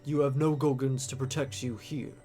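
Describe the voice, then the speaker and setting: A man voicing a composed, superior high-ranking soldier in a visual novel, formal and measured in his speech. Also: little pitch movement, dark tone, soft background music, close to an everyday voice. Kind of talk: visual novel character dub.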